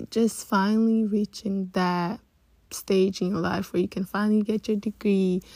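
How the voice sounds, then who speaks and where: A young woman in her early twenties chats on her solo podcast in a loose, rambling way, often restarting mid-thought. Her voice is gravelly with heavy vocal fry, lower than her usual after a long day awake. The sound is close and dry. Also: warm tone, no room sound. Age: early 20s. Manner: rambling, chatty, many restarts. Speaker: podcast host. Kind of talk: casual solo podcast chat.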